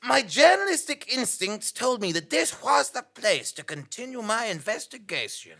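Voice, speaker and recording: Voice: mid-range voice, big pitch swings, normal speed, creaky, sharp tone, full character voice. An actor playing a pompous, self-important investigative journalist, narrating a melodramatic comic audio drama in a theatrical, blustering way. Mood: grandiose.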